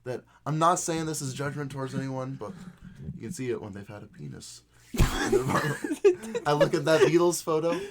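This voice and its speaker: A man's voice: a little high, sing-song, moderate pace, a crowd in the background. A man in casual group banter with a mock-earnest way of telling things.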